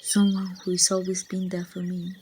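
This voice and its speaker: A woman playing a grieving young woman confiding in her lost best friend in a halting, wistful ASMR roleplay monologue. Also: lowish voice, completely flat delivery, darkish tone, a little hiss or hum, natural voice. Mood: tender, nostalgic. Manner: slow, halting, wistful storytelling. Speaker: amateur voice actor.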